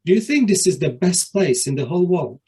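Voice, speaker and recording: Storybook hare, male, curious and questioning, Czech accent, slightly husky, read by a man. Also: high voice, expressive, a little fast, a little mellow, voice pushed up, exaggerated voice. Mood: wondering.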